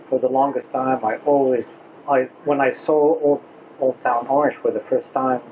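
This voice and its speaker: A man talks about his restaurant at a mid pitch. He speaks haltingly, pausing and restarting often. The recording is slightly dull and lo-fi, with faint noise behind him. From Lebanon. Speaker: restaurant owner. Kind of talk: casual interview answers.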